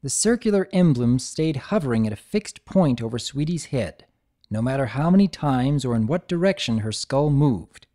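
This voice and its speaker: A woman narrating a story in an expressive dramatic reading. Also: rumbling low, normal speed.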